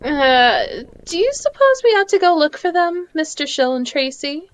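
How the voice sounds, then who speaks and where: A polite, naive photographer in a radio play, voiced by a woman. The voice is high and lively, with a nervous, hesitant stammer. Faint noise sits behind it. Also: moderate pace. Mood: uneasy. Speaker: amateur voice actor in live table read. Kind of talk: old-time radio drama acting.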